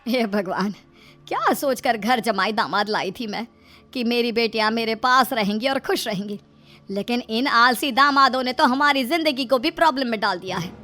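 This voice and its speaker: A woman's voice: fairly high pitch, normal speed, sharp tone, soft background music. A woman voicing a scheming, fretful middle-aged mother-in-law with pleading, emphatic delivery in a comic story. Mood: exasperated.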